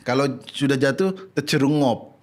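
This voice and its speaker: A man with a Madurese-tinged Indonesian accent talks casually as a guest. He explains things eagerly and laughs easily, at a middling pitch for a man. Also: moderate pace. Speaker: guest comedian. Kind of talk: casual chat.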